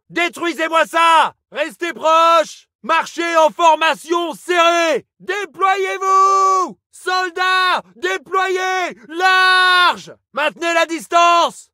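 An actor playing an urgent, battle-hardened soldier in a video game, barking clipped military callouts with emphasis. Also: male voice, very high-pitched, sharp tone, heavily acted. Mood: commanding, urgent. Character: urgent, battle-hardened French colonial infantry soldier and tank crewman.

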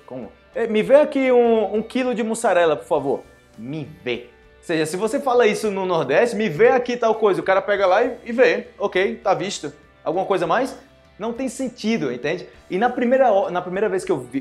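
Young man, Northeastern Brazilian accent, fairly high voice, lively to-camera lesson, faint music under it. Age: young adult. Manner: animated, gestures a lot, acts out dialogues. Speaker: Portuguese teacher. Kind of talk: online language lesson to camera. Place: small indoor room with plain wall.

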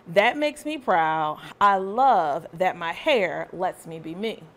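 A woman voicing a proud, excitable little girl in a children's storybook, with expressive delivery. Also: lowish voice, takes it slowly, heavily acted. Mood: proud, excited. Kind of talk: children's storybook read-aloud.

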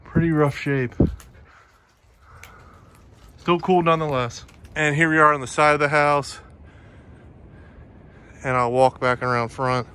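A man narrating a hiking vlog in a casual, matter-of-fact, halting way as he walks. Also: fairly high pitch, steady tone, pushed and bright. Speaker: hiking vlogger. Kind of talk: casual walk-along narration. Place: outdoors in woods by a river. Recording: outdoor phone video with ambient noise.

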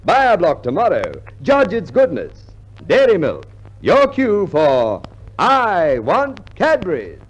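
50s radio ad guy, high lively voice, really selling it. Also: slow, dull audio. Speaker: commercial announcer. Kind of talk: 1950s radio ad read, emphatic.

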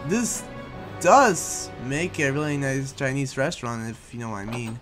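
Lively man in casual gaming roleplay. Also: mid-range voice, a little slow, music in the background. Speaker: YouTube gaming host and roleplayer.

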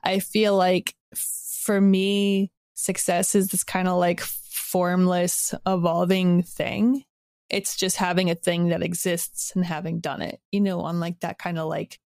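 Low-pitched woman in casual, reflective conversation. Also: flat delivery, unhurried, vocal fry, a little mellow, dry recording, clean studio sound. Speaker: interview guest, audio drama creator. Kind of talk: casual, reflective interview talk.

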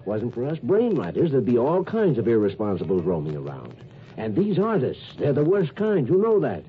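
An actor playing a meddling, falsely genial small-town doctor with a smug, insistent manner in a 1950s radio drama. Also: male voice, mid-range voice, huge pitch swings, moderate pace. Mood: smug insistence. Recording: thin, phone-like, faintly noisy old radio.

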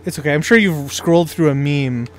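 A man's voice: on the high side, fairly quick, crowd noise. A man in casual, slangy gameplay chat, joking as he goes with his co-op partner. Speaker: gaming streamer, co-op player.

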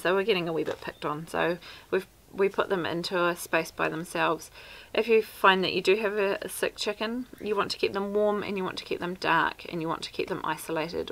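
Down-to-earth New Zealand homesteader woman, thirties, slightly low and gravelly, practical chat to camera outdoors. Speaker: homesteader vlogger. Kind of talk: casual how-to talk to camera. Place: outdoors by a wooden fence. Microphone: camera at arm's length.

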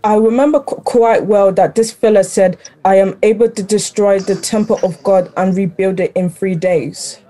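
A woman's voice: medium pitch, steady tone, moderate pace, few pauses, mellow, close to an everyday voice. A woman playing a hostile, scornful witness accusing a prisoner in an audio play. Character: hostile accuser testifying at a trial. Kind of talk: dramatic audio play acting.

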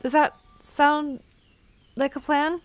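A woman's voice: sits high, level tone, slow pace, soft background music. A woman acting an anxious, pleading young woman who leads her friends in an audio drama. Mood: urgent, organizing.

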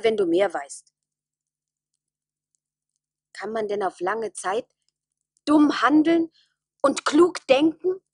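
A woman's voice: high voice, no echo, full character voice. An actress playing a doubtful, demanding young village woman in a radio play, dramatic and insistent. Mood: doubting. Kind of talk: German radio play acting.

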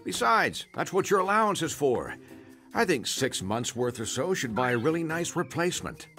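A man's voice: on the high side, very animated, bright, forceful tone, faint music behind, heavily acted. An actor playing a burly old grandfather, fatherly and dryly humorous, in a cartoon video game. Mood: dry, unbothered. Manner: fatherly, dry, measured. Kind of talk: animated video game cutscene acting.

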